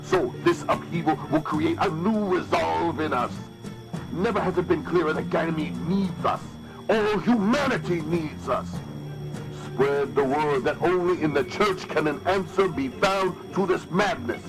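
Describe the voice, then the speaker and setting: A fervent cult leader delivers a solemn sermon in an audio drama. His delivery is grandiose and devout, swinging widely in pitch over a music bed. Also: lively intonation, a little slow, gravelly, rough recording. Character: fervent male cult leader preaching to faithful.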